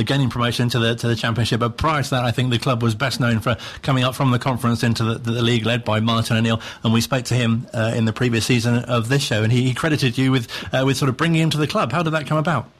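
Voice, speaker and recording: A man's voice: mid-range voice, steady tone, quick pace, few pauses. A man presenting and interviewing on a sports radio show, with a loose, hesitant delivery.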